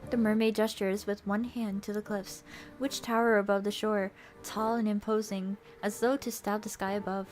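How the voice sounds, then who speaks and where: A woman's voice: medium pitch, little pitch movement, normal speed, a little mellow. A woman streamer reading a visual novel aloud and chatting casually between passages. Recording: music faintly behind the voice. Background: music.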